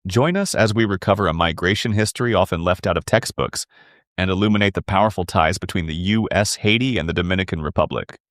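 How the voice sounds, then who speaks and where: A man with a low voice reads a scripted podcast introduction in a measured, formal way. The sound is dry and close. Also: a bit bright, no room sound. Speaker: episode narrator. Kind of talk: scripted narration.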